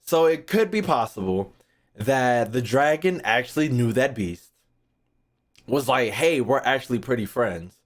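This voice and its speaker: A man's voice: mid-range voice, animated, measured pace. A young American man streaming a game, chatting casually with slang and swearing, often rambling and repeating himself. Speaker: gaming streamer. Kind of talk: casual, profane game reaction chat.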